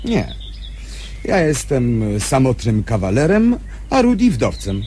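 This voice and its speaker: Lively, plain-spoken gardener. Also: male voice, medium pitch, takes it slowly, slight background noise. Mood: chatty. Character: plain-spoken bachelor gardener, obliging male sanatorium worker. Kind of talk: radio drama acting.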